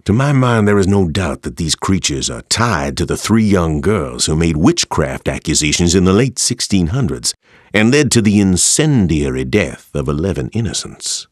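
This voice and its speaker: A man voicing a grave, bookish narrator reading a horror game's monster journal in measured, formal, ominous phrasing. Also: low voice, very animated, slightly rough, no room sound, studio quality, exaggerated voice.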